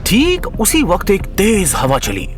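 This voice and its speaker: A man narrates a comic story with theatrical flair. His voice sits fairly high and moves around a lot, over a light music bed. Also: wildly swooping pitch, measured pace. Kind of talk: dramatic comic scripted narration.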